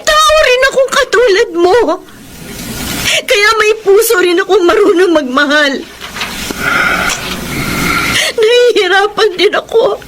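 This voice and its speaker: A woman's voice: very high voice, animated, normal speed. A woman playing an anguished, defensive mistress in a melodramatic radio drama, pleading with emotional questions. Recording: faint background noise.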